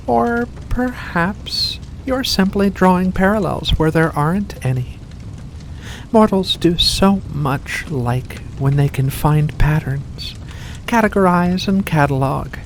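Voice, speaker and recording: A woman's voice: on the low side, slow pace, slight background noise, poor audio. A woman voicing an ancient, seductive furry vampire in an ASMR roleplay, coaxing and genteel with veiled menace. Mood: coaxing, curious. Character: ancient predatory vampire with furry paws, seductive, faux-kindly. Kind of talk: ASMR roleplay addressed to the listener.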